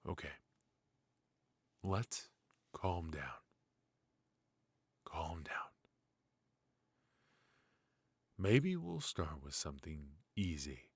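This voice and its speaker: Man performing a ruthless noir mafia boss, husky and breathy, unhurried, weary, wry, fatherly edge, close dry ASMR roleplay sound. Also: very deep voice, dry recording, heavily acted. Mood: worried, hushed. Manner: slow, pausing, sighing, wry. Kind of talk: intimate ASMR roleplay acting.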